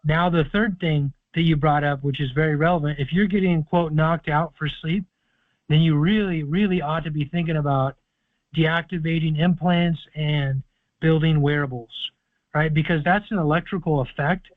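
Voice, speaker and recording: Male call-in host, higher voice, dry phone-line sound, confident long explanations. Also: fairly even intonation, normal speed, dry recording. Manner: confident, explaining at length with fillers. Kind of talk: casual call-in advice talk. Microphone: phone or conference call. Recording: tinny conference phone line.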